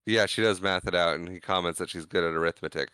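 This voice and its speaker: A man's voice: sits low, steady tone, fast, sharp tone, clean tone, dry recording, studio quality. A man co-hosting a podcast, retelling film scenes in casual chat, acting out the characters' quoted lines. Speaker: podcast co-host.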